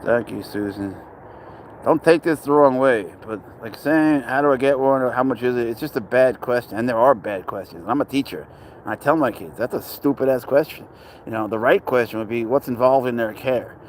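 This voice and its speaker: A man's voice: mid-range voice, fairly quick. Male streamer from Spain, casual and rambling, answering chat live outdoors, light street noise. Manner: chatty, rambling, answers chat questions aloud. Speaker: livestream host. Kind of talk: casual livestream chat reading viewer comments.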